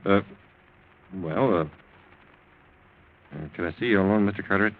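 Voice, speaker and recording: A male actor playing a probing, deceptively polite police lieutenant in a 1940s radio drama, asking questions in a measured, hesitant way. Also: low-pitched, slight background noise, natural voice. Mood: hesitant, deferential. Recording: dull old 1940s radio recording.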